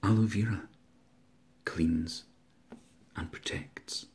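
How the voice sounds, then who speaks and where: A man's voice: low-pitched, takes it slowly, a little mellow, slight background noise. A man, an author speaking informally and reciting verse aloud. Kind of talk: informal introduction and dramatic poetry recital.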